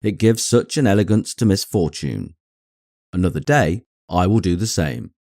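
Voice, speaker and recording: A man voicing a dry, sardonic middle-aged English gentleman and father in an audiobook, with a wry, deadpan manner. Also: low-pitched, moderate pace, no room sound. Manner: deadpan, wry, mock-stern. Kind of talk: acted dialogue in audiobook reading.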